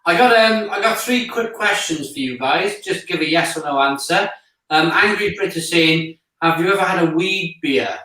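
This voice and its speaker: A man's voice: a little high, normal speed, pushed and bright, very echoey, low-quality recording. A man hosting a live stream, reading out viewer comments in a hesitant way with many ums. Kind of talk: casual live-stream chat.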